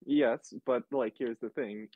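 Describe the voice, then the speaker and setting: A man's voice: medium pitch, steady tone, slow pace, phone-quality sound. A man chatting casually as a player in a tabletop game, hesitant and often repeating his phrases. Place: online group voice call, dry.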